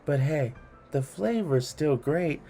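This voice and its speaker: A man hosts a read-along of comic rhymes for children. His voice is soft-edged and relaxed, and he takes his time with a theatrical storyteller's delivery. Quiet music plays underneath. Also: on the high side, slow pace. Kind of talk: expressive reading of funny children's rhymes.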